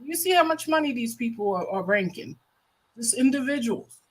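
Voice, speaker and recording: An American woman with a high-pitched voice speaks as a livestream host. Her commentary is casual and impassioned. She is emphatic, often repeating phrases to stress a point. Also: lively intonation, normal speed, a little hiss or hum.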